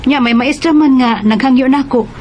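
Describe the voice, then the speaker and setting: An actress playing a grateful, eager nineteen-year-old working student daughter in a radio drama. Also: female voice, sits high, normal speed, darkish tone, a little hiss or hum, lightly acted. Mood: hopeful, earnest. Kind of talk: Cebuano radio drama acting.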